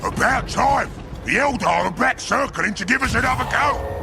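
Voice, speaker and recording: Gravelly, scheming ork warboss. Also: male voice, very high voice, animated, moderate pace, background noise, exaggerated voice. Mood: barking, wary. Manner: gruff, sly, swinging into bellows. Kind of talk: video game cinematic acting.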